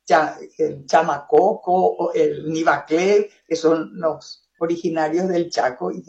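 A man's voice: a little high, fairly even intonation, takes it slowly, darkish tone, dull audio. A man from Paraguay with a Paraguayan Spanish accent, a native Guarani speaker, talking reflectively in a casual conversation and often adding tag questions.

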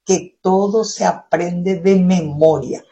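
A woman's voice: on the low side, fairly even intonation, slow pace, dark tone, lo-fi sound. Paraguayan woman in conversational discussion. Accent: Paraguayan Spanish. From Chaco, Paraguay. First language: Guaraní. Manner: opinionated, frequently seeks agreement with tag questions. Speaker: interviewer.

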